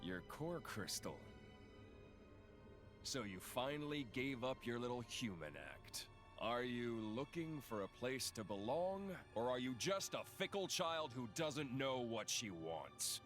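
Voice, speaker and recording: A gloating male video game villain, taking his time over each mocking phrase. His delivery swings widely from low drawl to shouted threats, with faint music behind. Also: big pitch swings, a little slow. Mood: mocking menace. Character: menacing sneering male villain, theatrical and gloating. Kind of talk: dramatic video game voice acting.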